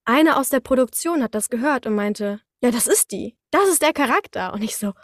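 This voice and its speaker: A young woman chatting casually as a guest, telling anecdotes in a lively, slangy way. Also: fairly high pitch, fairly quick, no echo, clean studio sound. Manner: animated storytelling with slangy, colloquial wording. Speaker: guest, voice actress.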